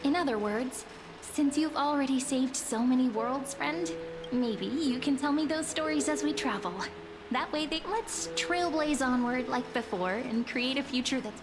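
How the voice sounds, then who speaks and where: A woman playing a gentle, hopeful young companion in a fantasy video game. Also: high-pitched, moderate pace, background noise, lightly acted. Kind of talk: scripted video game drama acting. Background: game music.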